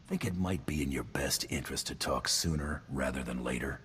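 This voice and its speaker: A man voicing a cocky, deadpan bounty hunter in a video game, dry and self-assured. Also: extremely low pitch, fairly quick, faint music behind.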